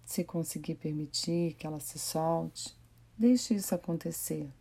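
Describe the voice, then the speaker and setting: Brazilian female meditation guide, gentle and mellow, very slow delivery with long pauses. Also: sits low, gravelly, breathy edge. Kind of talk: guided body scan meditation.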